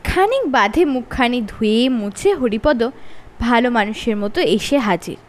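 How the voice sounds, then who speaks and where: A woman's voice: a little high, animated, measured pace. A woman with a Bengali accent reading a children's story aloud, shifting her voice for each character.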